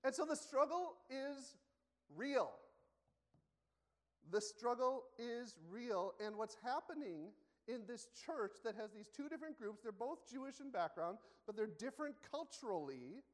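A man's voice: very high-pitched, animated, unhurried. A man preaching a sermon in a church, conversational and self-correcting, often asking the congregation questions. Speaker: pastor. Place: church sanctuary. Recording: long hall reverb.

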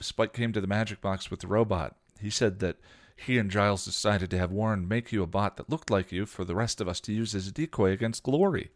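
A man's voice: fairly low pitch, pitched above the performer's own voice, natural voice. A man voicing an eager young woman who explains everything in a rush, in a read-aloud story.

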